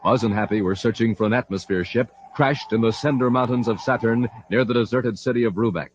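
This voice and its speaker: A male announcer narrating and pitching in a 1950s radio style. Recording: muffled, slightly noisy old radio recording.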